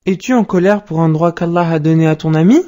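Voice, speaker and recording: Cartoon dad, played by a woman: wise, patient, devout, measured teacher's delivery, lowish mellow tone. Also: steady tone, natural voice. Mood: calm, instructive. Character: calm, wise, pious father advising his young son. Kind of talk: didactic cartoon dialogue.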